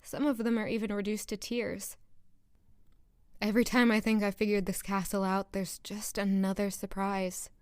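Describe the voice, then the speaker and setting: An actress playing an earnest, nervous young palace maid who narrates and speaks in a first-person audio drama. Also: female voice, medium pitch, little pitch movement, moderate pace, a little mellow, smooth, dry recording, studio quality, close to an everyday voice. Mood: curious.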